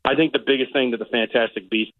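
A man's voice: medium pitch, fairly even intonation, fast talker, dry recording, phone-quality sound. A man giving a chatty, opinionated movie review as a guest critic on a casual radio show.

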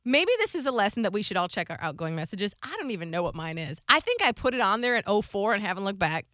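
A woman co-hosting a morning radio show, talking in an animated, conversational way with lively banter. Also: mid-range voice, fast, no echo, studio quality.

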